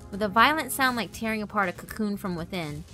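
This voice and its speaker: A woman's voice: mid-range voice, animated, a little fast, sharp tone. A woman narrator reading a scripted story in a dramatic storytelling style. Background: background music.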